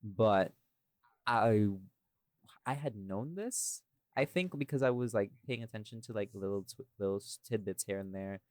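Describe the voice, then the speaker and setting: Guy with a lowish voice rambling in a casual chat, circles back on his words, dry close sound. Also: moderate pace, a little mellow, no room sound. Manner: rambling, self-interrupting, repeats phrases. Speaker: podcast guest, voice actor.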